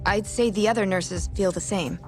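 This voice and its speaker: A woman's voice: medium pitch, moderate pace, darkish tone, soft background music, lightly acted. A woman playing an earnest, guarded young nurse in an English-dubbed game drama, speaking precisely and formally.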